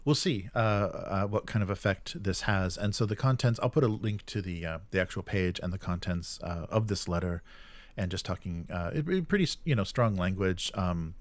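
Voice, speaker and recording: A man hosting a casual news rundown, feeling his way through sentences in a halting, rephrasing manner. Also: low voice. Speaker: show host.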